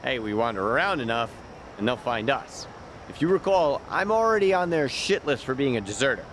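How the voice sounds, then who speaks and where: An actor playing a streetwise, easygoing ex-gangster guide in a video game, explaining things in casual slang. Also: male voice, medium pitch, very animated, a little fast, a bit bright, a little hiss or hum. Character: streetwise, easygoing male gang deserter and local guide in a game.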